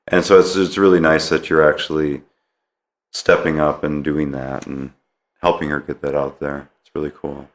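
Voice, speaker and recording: Very deep-voiced American male podcast host. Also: little pitch movement, normal speed. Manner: supportive, affirming. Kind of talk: casual interview.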